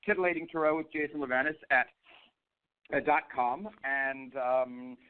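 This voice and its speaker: A man's voice: mid-range voice, fairly even intonation, moderate pace, smooth. A man with a head cold hosts a radio show in casual, profane, joking chat; he sounds snotty. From US East Coast. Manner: chatty, profane, jokey. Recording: phone-like lo-fi audio.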